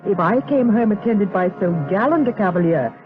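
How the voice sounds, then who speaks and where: A woman's voice: medium pitch, expressive, brisk, natural voice. Fussy older aunt played by a woman, opinionated and exclaiming, period drama, muffled sound over music. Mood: coy, gracious. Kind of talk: gothic audio drama acting.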